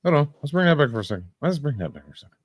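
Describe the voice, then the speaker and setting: A man's voice: fairly high pitch, very animated, very fast. Guy streaming reactions, swears a lot, mocking and baffled, voice jumps all over the place. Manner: mocking, incredulous, profane. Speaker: livestream host. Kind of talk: casual reaction commentary.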